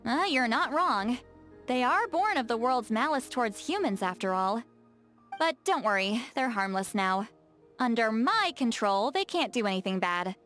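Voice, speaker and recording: A woman voice acting a touchy, lazy, bookish young-seeming shaman in a video game, expressive and defensive, with hesitant asides and self-corrections. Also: fairly high pitch, normal speed, faint music behind. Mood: laid-back, explanatory. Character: touchy, lazy, bookish female shaman, youthful but secretly very old.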